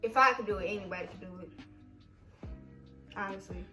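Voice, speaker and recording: Animated, profane young woman. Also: medium pitch, moderate pace, roomy sound, far from the mic, over music. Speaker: vlog co-host, army veteran. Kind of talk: casual storytelling chat.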